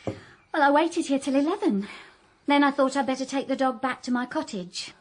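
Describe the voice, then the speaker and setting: A woman's voice: high-pitched, moderate pace, darkish tone, lightly acted. An actress playing a gushing, fluttery former girlfriend who walks dogs, in a radio comedy. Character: Judy Page, gushing dog-walking ex-girlfriend. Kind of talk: radio drama acting.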